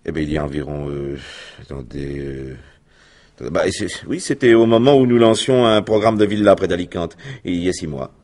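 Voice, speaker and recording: An actor playing a haughty company director under questioning in a French radio crime drama, formal and disdainful with measured hesitations. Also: male voice, low voice, animated, a little mellow. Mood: guarded.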